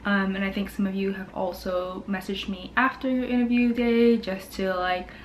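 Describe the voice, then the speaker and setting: A woman's voice: mid-range voice, flat delivery, moderate pace, slight background noise, rough recording. A woman in her twenties from Hawaii, a dental student chatting casually in her vlog with lots of fillers. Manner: chatty, upbeat, many fillers like "um" and "like". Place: home, indoors.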